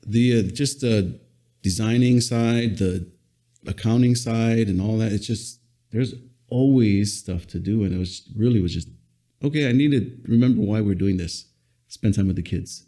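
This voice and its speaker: Low, mellow-voiced Samoan-born man. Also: moderate pace. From Western Samoa. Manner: relaxed, matter-of-fact storytelling. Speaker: guest, business owner. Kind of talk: casual interview conversation. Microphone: podcast studio mic.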